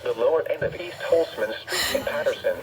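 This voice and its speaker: A synthetic male announcer reading a scripted emergency weather bulletin in a flat, formal way through a weather radio speaker. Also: fairly high pitch, fairly quick, crowd noise. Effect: played through weather radio speaker. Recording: dull radio speaker, faint background noise.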